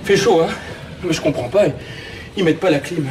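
A male actor as a brash guy swearing his way through a radio play. The voice is high and colloquial, pushy with his mates. It sits off mic in heavy train noise. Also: fairly quick, recorded from a distance, lightly acted. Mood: chatty. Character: brash, foul-mouthed, bossy young guy travelling with his mates. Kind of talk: naturalistic radio drama acting. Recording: noisy train ambience, off mic.

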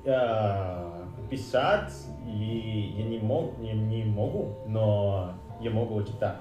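A man's voice: lowish voice, takes it slowly, mellow, lo-fi sound, soft background music. A young man in his twenties, a native Indonesian speaker, chatting playfully with strangers on a video chat in learner's Russian and English. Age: 20s. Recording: distant, echoey clip audio.

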